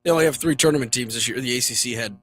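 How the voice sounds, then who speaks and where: A young man talking sports on a radio show, his voice hoarse from shouting, joking in loose banter. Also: medium pitch, very fast, faint music behind. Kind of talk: casual sports chat. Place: radio studio. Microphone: studio mic.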